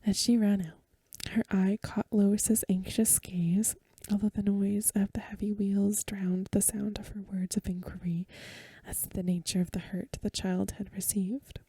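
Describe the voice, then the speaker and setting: A woman reading a story aloud in a soft, breathy, mostly whispered ASMR style, gentle and unhurried, close to the microphone. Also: medium pitch, flat delivery, no room sound. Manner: gentle, unhurried, literary narration.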